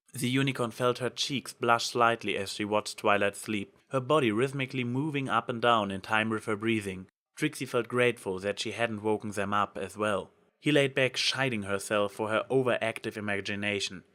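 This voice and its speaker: A man's voice: fairly low pitch, fairly bright tone. A man reading fan fiction aloud as a narrator, in a dramatic storytelling style.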